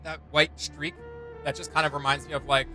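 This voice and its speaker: A man's voice: a little high, little pitch movement, a little fast, over music. A young man giving enthusiastic, slangy, casual commentary as he hosts a reaction video. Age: young adult. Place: indoor home studio room.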